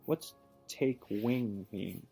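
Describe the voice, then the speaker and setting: A man reading a picture book aloud to a child, pausing to ask questions and give patient encouragement. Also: on the low side, creaky, dark tone, slightly rough, faint music behind. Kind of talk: storybook read-aloud with teaching asides.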